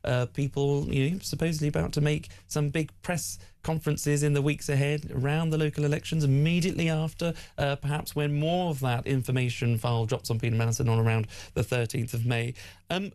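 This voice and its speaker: A man's voice: fairly high pitch, level tone, fairly quick, darkish tone. A man guest-presenting a talk radio show, asking long winding questions in a chatty, teasing way.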